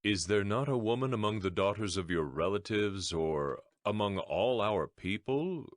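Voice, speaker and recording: A man's voice: fairly low pitch, lively intonation, normal speed, dry recording, natural voice. An actor playing a concerned older father in a dramatized audio Bible, questioning with reproof. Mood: disapproving.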